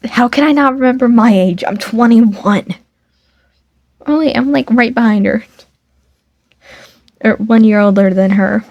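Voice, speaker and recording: Woman reading a fan dating sim, keeps tripping over words, slow, dull dry mic. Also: on the high side, darkish tone, dry recording. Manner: rambling, self-interrupting, stumbles over words. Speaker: fan game reader.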